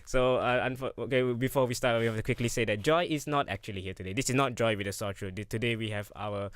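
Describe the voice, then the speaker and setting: A man's voice: medium pitch, quick pace, no room sound. A young man hosting a casual student radio show, chatty, laughing often and tripping over his words. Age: young adult. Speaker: radio host. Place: radio studio.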